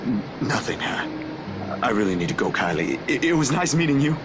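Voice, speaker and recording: A man voicing a troubled young photographer in a visual novel, hesitant, soft-hearted and awkwardly kind, with music behind him. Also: mid-range voice, sing-song, a little fast, very noisy, natural voice. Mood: flustered. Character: troubled young male photographer, gentle but anxious with children. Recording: loud music behind the dialogue.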